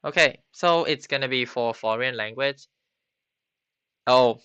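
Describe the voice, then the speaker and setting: A man teaching a beginner Japanese class on a live stream, halting often with ums and restarts. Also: mid-range voice, pushed and bright, smooth, no echo. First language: not Japanese. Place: home computer setup. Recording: slightly dull lo-fi stream audio.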